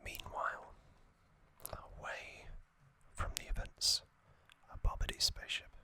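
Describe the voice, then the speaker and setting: Slow, bright whispering ASMR host. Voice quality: fully whispered, breathy. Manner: slow, soft, unhurried telling with hesitant fillers and self-corrections. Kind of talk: whispered ASMR trivia recap.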